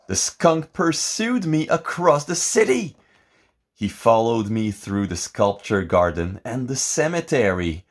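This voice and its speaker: Slow, highly animated man reading a storybook. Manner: theatrical, expressive, deliberate. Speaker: picture book reader. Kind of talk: dramatic scripted storybook narration.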